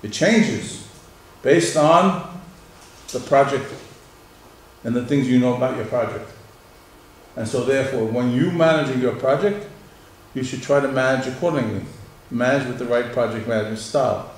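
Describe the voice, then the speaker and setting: A man's voice: mid-range voice, normal speed, long pauses. Middle-aged male professor, New York accent, chatty lecture style, asks the class questions, recorded far off in a very echoey room. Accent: New York area American. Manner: conversational, questions the class and answers himself. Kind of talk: university lecture. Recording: very echoey room, mic far off, faint hiss.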